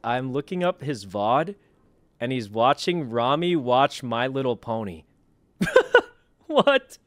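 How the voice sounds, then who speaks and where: A man's voice: medium pitch, big pitch swings, slow pace, dry recording. A young man streaming games, chatting and reacting casually into a close desk microphone. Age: young adult. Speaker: game streamer. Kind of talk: casual roleplay and reaction chat. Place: indoor room at home.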